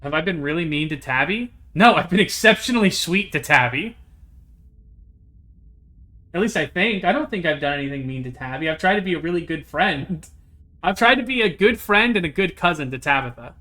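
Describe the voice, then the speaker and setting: A young man streaming a visual novel, chatting casually with his viewers. He second-guesses himself out loud, restarts his sentences and swears casually. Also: fairly high pitch, lively intonation, brisk. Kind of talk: casual live-stream commentary while playing a visual novel.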